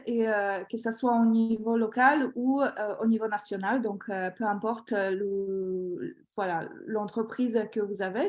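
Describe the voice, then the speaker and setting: A woman with a Polish accent, speaking French as a second language, gives a webinar presentation over a video call. Her voice sits a little high for a woman, and she often pauses on fillers while finding her words. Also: a little mellow. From Poland. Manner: hesitant, many fillers, laughs lightly. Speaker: guest expert presenter. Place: home.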